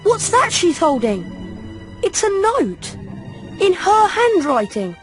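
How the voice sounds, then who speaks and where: A woman's voice: extremely high pitch, very animated, takes it slowly, exaggerated voice. A performer, a woman, playing a brave, earnest young schoolboy wizard in a video game. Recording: music under the voice.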